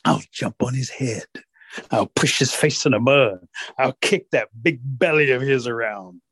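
A man voicing a small, cheeky trickster rabbit in a folk tale, with a rude, wheedling, excitable manner. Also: mid-range voice, unhurried, husky, no room sound, studio quality. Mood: sulky, scheming.

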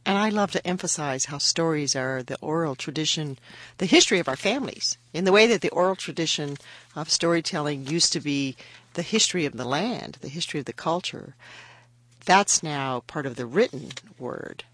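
A woman's voice: sits low, animated, normal speed, fairly bright tone, no room sound. A woman in her fifties, from the border region, hosting a casual radio interview in an enthusiastic, chatty way. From US–Mexico border region. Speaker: radio show host.

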